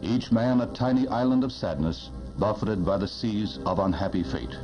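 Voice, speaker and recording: An American man with a fairly low voice delivers solemn newsreel narration from the 1960s. His manner is reverent and oratorical, each phrase measured and grave. The soundtrack is dull and vintage, with prominent music under the voice. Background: loud music.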